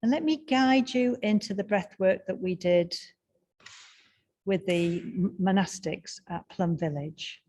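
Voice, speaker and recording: A woman guiding a breathing meditation in a slow, soft and soothing way, with long pauses. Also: medium pitch. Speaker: meditation guide.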